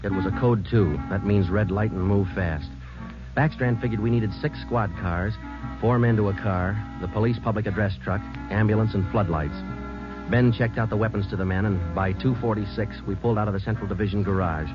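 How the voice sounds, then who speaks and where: An actor playing a terse police sergeant who also narrates, in flat, matter-of-fact 1950s radio drama style, on a dull lo-fi old radio broadcast with music. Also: male voice, lowish voice, brisk, close to an everyday voice. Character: terse male police sergeant, calm and clipped. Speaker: detective and narrator.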